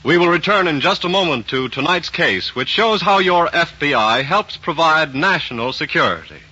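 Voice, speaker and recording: Very bright, forceful male radio announcer. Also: a little high, very animated, normal speed, faint music behind. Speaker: radio announcer and sponsor spokesman. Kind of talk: 1940s radio announcing and scripted commercial. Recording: dull 1940s radio recording.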